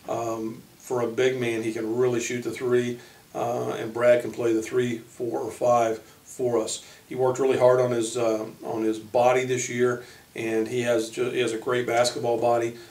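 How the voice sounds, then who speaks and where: A man who coaches college basketball, giving informal player profiles in a halting way, pausing mid-sentence. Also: medium pitch, completely flat delivery, darkish tone. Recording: roomy echo, distant microphone.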